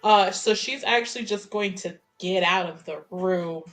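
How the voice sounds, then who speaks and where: Bright-voiced woman in casual game chat. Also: medium pitch, unhurried, rough recording. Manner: expressive, sweary, informal. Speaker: tabletop game player.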